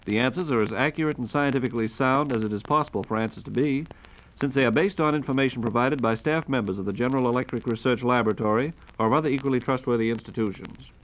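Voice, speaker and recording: A man hosting a 1940s radio program, speaking formally and courteously as an announcer. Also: medium pitch. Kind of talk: 1940s radio announcing, reading listener questions. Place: radio studio. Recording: noisy 1940s radio recording.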